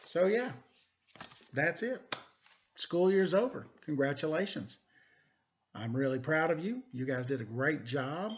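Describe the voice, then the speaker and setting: A man's voice: a little high, very animated, unhurried, pauses often. A middle-aged man reading a children's story aloud and talking plainly to his young audience. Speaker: story reader, teacher. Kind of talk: animated children's picture book read-aloud, then casual address. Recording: dull lo-fi sound.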